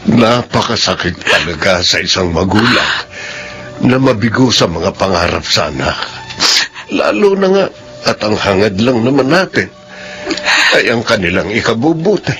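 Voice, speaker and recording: Elder brother, gentle, soothing, bright voice, radio drama. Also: male voice, fairly low pitch, very animated, normal speed, long pauses. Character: Kuya Conrad, calm caring older brother. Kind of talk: classic radio drama acting.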